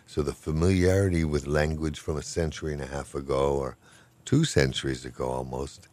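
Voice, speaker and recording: An older man with an extremely deep, low voice talks in a relaxed, rambling way. He is good-humored and self-deprecating, a professor chatting through an interview. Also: brisk. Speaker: professor of Hawaiian language. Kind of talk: casual interview talk.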